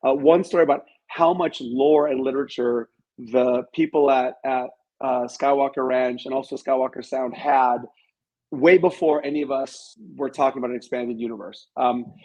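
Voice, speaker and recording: A man's voice: medium pitch, fairly even intonation, normal speed, darkish tone, muffled recording. A man speaking as an author being interviewed, telling stories in a modest, reflective and conversational way. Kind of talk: conversational storytelling.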